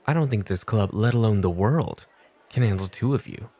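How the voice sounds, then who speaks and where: A man playing a cool, unhurried club host in an ASMR roleplay, speaking with firm, dry, measured teasing. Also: on the low side, dark tone, lightly acted.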